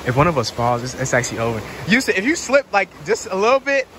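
A young man vlogging casually with friends on a handheld phone camera, loud and joking, laughing a lot. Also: high-pitched, big pitch swings, fast, a little hiss or hum. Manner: loud, joking, trash-talking, laughing often. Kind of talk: casual hangout vlog with friends. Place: bowling alley, then woods outdoors.

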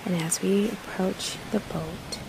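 A woman narrating a guided meditation in a soothing, hushed manner, with breathy phrase endings and long pauses between short phrases. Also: medium pitch, steady tone, background noise.

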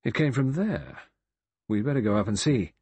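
Man playing a cool sardonic adventurer, husky. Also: medium pitch, sing-song, normal speed, a little mellow, no room sound, voice pushed up. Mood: alert. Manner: easy, mocking, thoughtful.

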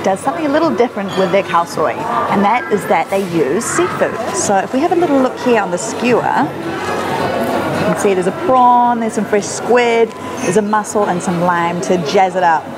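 A woman's voice: medium pitch, lively intonation, normal speed. A chatty, enthusiastic woman in her twenties hosting an upbeat food travel show. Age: 20s. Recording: loud background music.

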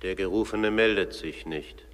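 A man playing the formal announcer of a court of shades, narrating a radio play solemnly and deliberately. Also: low-pitched, fairly bright tone. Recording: long reverberant hall.

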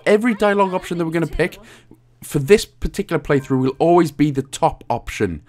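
A man from Wales, hosting a casual video game walkthrough with jokey, crude humour. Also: medium pitch. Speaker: host of game achievement guides.

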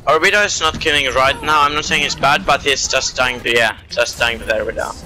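A man's voice: medium pitch, a little fast, sharp tone, low-quality recording. Man making game callouts over music. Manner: calls out plays, complains about teammates. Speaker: gamer streaming a match. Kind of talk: casual game commentary and team callouts.

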